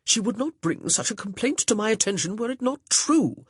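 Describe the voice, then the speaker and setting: A pompous young gentleman lectures sternly, yet his authority keeps wobbling into confusion, as the audiobook narrator puts him on. The voice is a touch husky, with lively rises and falls, recorded close and dry. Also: high voice, normal speed, no room sound, exaggerated voice. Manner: stern, blustering, uncertain. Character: pompous flustered young man, stepbrother.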